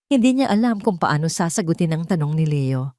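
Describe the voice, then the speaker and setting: Low-voiced woman narrating a drama. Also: big pitch swings, brisk, dark tone, no echo, studio quality. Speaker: story narrator. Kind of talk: scripted dramatic story narration.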